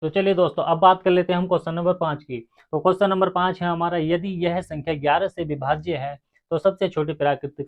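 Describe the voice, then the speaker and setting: A man teaching maths step by step, addressing his students directly and counting sums out aloud. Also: fairly high pitch. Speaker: maths teacher for exam aspirants. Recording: muffled, phone-like narrow sound.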